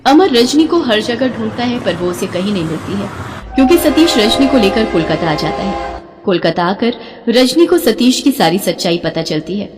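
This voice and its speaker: A woman's voice: medium pitch, lively intonation, moderate pace, big-room sound, background noise. A woman narrating a scripted dramatic story.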